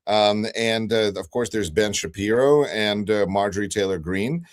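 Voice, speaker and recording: Low-voiced man, Russian first language. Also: moderate pace. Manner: hesitant, many fillers and restarts. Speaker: guest commentator. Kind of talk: casual political talk. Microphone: remote livestream call.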